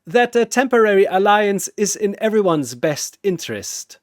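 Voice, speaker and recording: A man's voice: high-pitched, big pitch swings, moderate pace, no room sound, clean studio sound, voice pushed up. A man voicing a cornered villain officer in a story, careful and overly polite as he bargains. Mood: careful, diplomatic.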